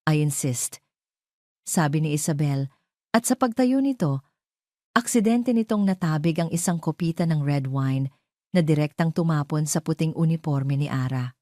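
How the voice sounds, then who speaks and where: Low, mellow woman narrating a story. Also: normal speed, no echo, clean studio sound. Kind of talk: scripted romance story narration.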